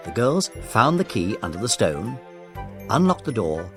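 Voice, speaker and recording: Lively low-voiced male storyteller over music. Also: measured pace. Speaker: narrator. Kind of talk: scripted children's story narration.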